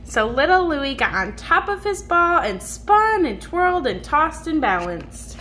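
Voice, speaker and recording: A woman with a high voice reads a storybook aloud to a small child. She goes slowly and expressively, stretching words for a young listener. The home phone recording has some faint noise. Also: big pitch swings, sharp tone, low-quality recording. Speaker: picture book reader to a small child. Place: indoors at home on a dark wood floor.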